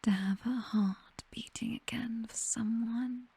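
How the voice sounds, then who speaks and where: Casting: woman to play possessive vampire noblewoman, British accent, soft dark whisper, unhurried, tender yet commanding, for close-mic ASMR roleplay. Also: a little high, fairly even intonation, heavily acted. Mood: tender, soothing. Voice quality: breathy, whispery. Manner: slow, tender, teasing, possessive. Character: possessive, doting vampire noblewoman, commanding mistress to her butler. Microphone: close microphone, dry.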